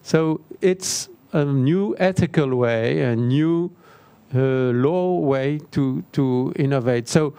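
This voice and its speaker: An older man speaks slowly on a seminar panel. His voice is gravelly and closely miked, and his comes out in halting phrases. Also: mid-range voice, mellow, dry recording. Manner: halting non-native English. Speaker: expert panelist. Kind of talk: spontaneous panel discussion remarks. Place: seminar auditorium on stage. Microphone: handheld microphone close to mouth.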